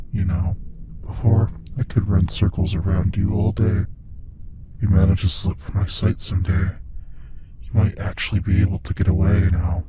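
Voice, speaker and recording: A man voicing a playful, sadistic monster who taunts his prey in an ASMR roleplay, often slipping into breathy whispers. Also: sits low, noisy background.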